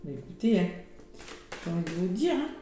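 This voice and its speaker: A hoarse woman chats through a weekly oracle card reading, with plenty of fillers and exclamations. Her voice sounds mellow and dark. It comes from a very echoey room with faint music behind her. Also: lowish voice, sing-song, a little fast. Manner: chatty, with many fillers and exclamations. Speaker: tarot and oracle card reader.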